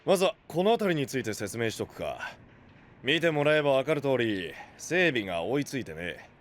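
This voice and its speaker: A man's voice: mid-range voice, sing-song, normal speed, soft background music, close to an everyday voice. A man playing a rugged, big-hearted young knight leader, brash and frank, in an anime audio drama. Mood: hearty, welcoming.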